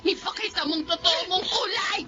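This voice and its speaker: A woman's voice: very high-pitched, moderate pace, pushed and bright. A woman playing a spiteful, self-pitying old grandmother with a Filipino accent, scolding and wailing in radio-style melodrama. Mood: outraged. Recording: dull lo-fi sound with clear noise.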